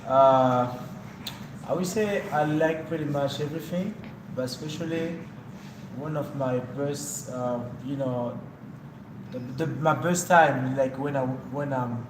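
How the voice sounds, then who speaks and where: A man introducing himself in a halting, hesitant way. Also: medium pitch. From Republic of Congo. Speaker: program participant. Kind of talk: self-introduction. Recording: roomy, noisy room.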